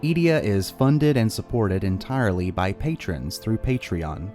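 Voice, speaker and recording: Low, mellow man reading podcast outro. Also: lively intonation, measured pace, soft background music. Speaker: podcast host.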